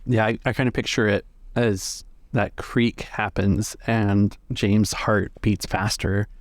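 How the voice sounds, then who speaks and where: A man runs a tabletop game as game master. His voice sits on the low side, and he describes scenes in a conversational, improvised style. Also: steady tone, takes it slowly, dry recording, clean studio sound.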